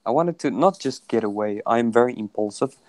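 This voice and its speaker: A man's voice: mid-range voice, expressive, fairly quick, a little mellow. A man whose first language is Afrikaans, chatting on a video call, hesitant with many restarts. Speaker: guest. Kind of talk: casual video call chat.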